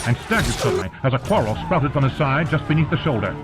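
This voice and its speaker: A man's voice: mid-range voice, sing-song, fast talker. A man narrating an audiobook as dramatic scripted storytelling. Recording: loud music behind narration. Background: loud music.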